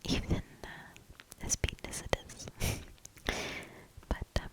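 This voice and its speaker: A woman doing soft ASMR storybook reading and quiet commentary in a breathy, gentle, hushed whisper. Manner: slow, gentle, hushed delivery.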